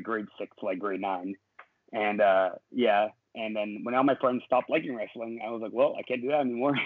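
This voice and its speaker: A young man, a professional wrestler guesting on a podcast, talking in a relaxed, rambling way with plenty of fillers. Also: medium pitch, no echo. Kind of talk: casual interview chat. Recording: dry, tinny phone-like call audio.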